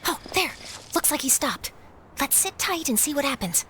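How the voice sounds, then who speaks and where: An actress playing a keen, confident young female reporter in a video game, brisk and conspiratorial. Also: very high voice, sing-song, a bit bright, slight background noise, exaggerated voice. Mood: eager, watchful. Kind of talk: video game cutscene acting.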